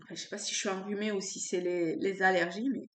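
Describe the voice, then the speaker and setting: A woman with a cold doing an unscripted tarot reading, pausing often and searching for words. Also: medium pitch, fairly even intonation, echoey room, a little hiss or hum. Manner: halting, searching for words with many fillers. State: has a cold. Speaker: tarot card reader.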